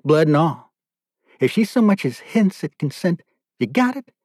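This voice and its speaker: A man's voice: fairly high pitch, sing-song, moderate pace, a little mellow, no echo, pitched above the performer's own voice. A man performs a menacing male captor in a dramatic audiobook. He speaks in a raised, smug voice, giving orders with a sickly show of sympathy and possessiveness. The sound is close and dry. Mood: gloating menace. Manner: commanding, mock-sympathetic. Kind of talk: audiobook character dialogue.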